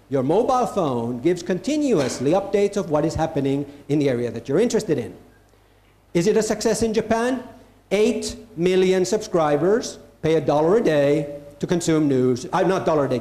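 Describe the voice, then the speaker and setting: A man speaks to a conference audience, pressing his points and repeating phrases for stress. His voice sits high with a warm, mellow tone. The sound carries the echo of a large hall. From Finland. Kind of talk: live keynote talk. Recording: echoey hall.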